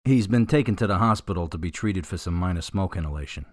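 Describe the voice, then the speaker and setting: Man playing low-voiced, easygoing police officer. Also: animated, quick pace, no room sound, clean studio sound. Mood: matter-of-fact. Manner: plain-spoken, courteous. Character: easygoing, plain-spoken male police officer. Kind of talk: scripted radio drama acting.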